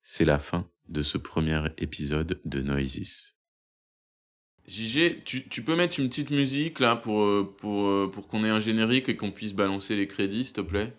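A man announcing podcast credits in an offhand, halting, informal way. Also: on the low side.

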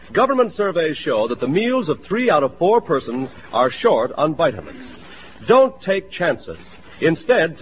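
A thin, phone-like old radio recording of an American male announcer. His voice is fairly high and swings widely in pitch as he delivers 1940s scripted copy with emphatic salesmanship. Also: slight background noise.

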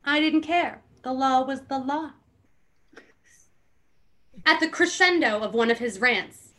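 A woman's voice: a little high, a bit bright. A woman hosting a podcast, giving a dramatic book reading with casual, amused asides and theatrical emphasis.

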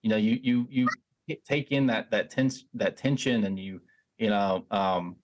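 A man's voice: lowish voice, measured pace, darkish tone, slight background noise, poor audio. Reflective male podcast host. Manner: thoughtful, reflective, many restarts and "you know"s. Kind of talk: casual interview chat.

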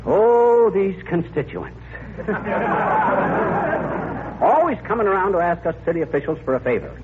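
A man's voice: high-pitched, sing-song, a little slow, crowd noise. A man playing a boastful small-town husband puffed up with importance, pompous and folksy, in 1940s radio comedy style. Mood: breezy, self-important. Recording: narrow, hissy old radio broadcast.

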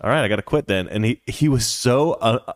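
A man's voice: mid-range voice, fairly quick, dry recording, clean studio sound. A man hosting a casual commentary show, rambling and opinionated with a joking edge. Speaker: show host.

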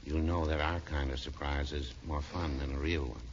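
A mild old small-town fellow with a low voice. He is fussy, dry and deadpan, in a vintage radio comedy recorded with dull, slightly noisy sound. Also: normal speed. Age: older. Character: mild, fussy older druggist, long married, drolly literal. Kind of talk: 1940s radio comedy acting.

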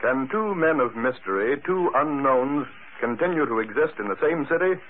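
A man performing a dramatic vintage radio announcer. His medium-pitched delivery is portentous, measured and emphatic, on a thin, faintly noisy old broadcast recording. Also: expressive, phone-quality sound, close to an everyday voice. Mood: grave, dramatic. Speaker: announcer and narrator. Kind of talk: 1940s radio scripted narration.

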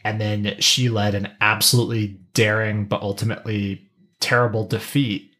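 Podcast co-host, medium pitch, casual discussion style, wry delivery. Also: little pitch movement, moderate pace, fairly bright tone. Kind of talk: casual pop-culture discussion.

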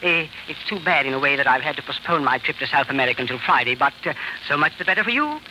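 Man acting oily, higher-voiced radio blackmailer. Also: lively intonation, quick pace, natural voice. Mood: smug, ingratiating. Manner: oily, formal, hesitating politely. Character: plump, smooth, smugly polite male blackmailer. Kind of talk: old-time radio drama acting. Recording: noisy old radio recording.

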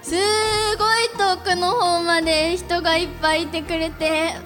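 A woman's voice: very high voice, flat delivery, unhurried, fairly bright tone. A woman giving a thank-you speech from a live event stage. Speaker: cast member of a radio show. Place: public event stage in a shopping complex. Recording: live event PA with music and crowd.

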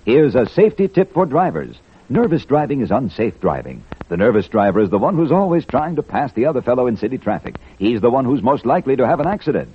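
A man's voice: medium pitch, big pitch swings, quick pace, slightly rough. A man announcing a 1940s radio programme from a script, with a formal, polished delivery. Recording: dull vintage radio broadcast recording.

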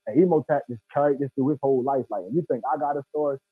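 A man's voice: mid-range voice, steady tone, very fast, husky, dry recording, soft background music. A man in casual chat, talking earnestly and emphatically, often saying bro. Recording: tinny phone-like sound, dry.

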